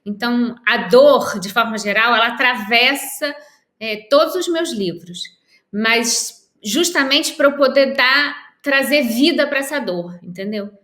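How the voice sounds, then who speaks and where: Brazilian woman, writer, fairly high voice, reflective and measured, roomy video-call sound. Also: fairly bright tone. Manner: thoughtful, measured, with many pauses and restarts. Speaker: author, guest. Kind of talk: reflective interview answers. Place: at home in Lisbon, by a window. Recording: echoey room on a video call.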